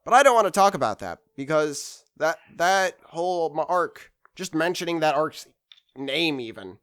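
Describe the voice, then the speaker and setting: A man's voice: on the high side, expressive, normal speed, a bit bright, clean tone. Rambling man in casual fan commentary. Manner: rambling, hesitant, losing his train of thought. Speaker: anime discussion video host.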